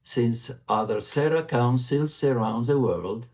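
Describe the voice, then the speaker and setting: Slow, measured Italian-accented man. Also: medium pitch, darkish tone. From Italy. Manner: formal, measured delivery. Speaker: vice president for communications. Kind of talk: prerecorded video report.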